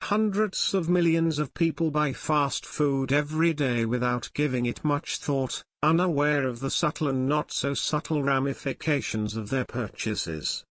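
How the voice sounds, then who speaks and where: A man reading a scripted practice passage aloud in measured, clearly phrased sentences. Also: fairly high pitch, fairly bright tone, no room sound. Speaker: reader of exam practice passages. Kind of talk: scripted read-aloud narration.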